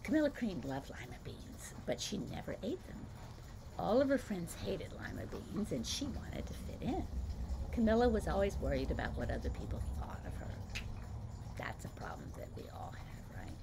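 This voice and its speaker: A woman's voice: lowish voice, normal speed, creaky, noisy background. An older woman, a grandmother, reading a picture book aloud and chatting about the pictures between pages. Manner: warm storytelling, comments on the pictures, lively.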